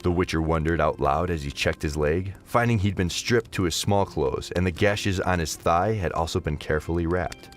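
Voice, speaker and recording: A man's voice: sits low, moderate pace, hardly any pauses. A man narrating a scripted fantasy audio drama in a dramatic storytelling style.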